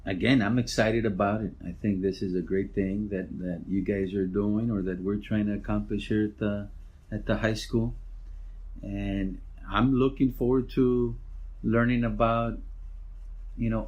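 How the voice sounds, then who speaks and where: Loud-voiced middle-aged man. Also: lowish voice, moderate pace. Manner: relaxed, rambling with restarts. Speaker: school principal. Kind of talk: casual interview answers.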